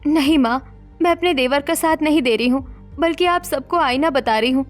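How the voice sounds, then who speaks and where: A woman playing a protective, reproachful young wife in an animated family melodrama, speaking emphatically. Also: high voice, normal speed, soft background music, close to an everyday voice. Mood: pleading, firm. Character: distressed young married woman, protective, reproachful daughter-in-law.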